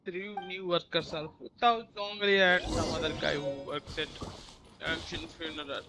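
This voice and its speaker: A woman's voice: on the low side, steady tone, moderate pace. Woman streaming over game music. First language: Tamil. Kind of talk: casual live gaming commentary, switching languages.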